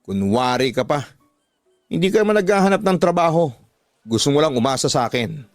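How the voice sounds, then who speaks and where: A man's voice: a little high, big pitch swings, a little fast, no room sound, clean studio sound, faint music behind. Man playing an aggrieved, accusing Filipino husband. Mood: scolding. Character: aggrieved, accusing working husband in radio drama.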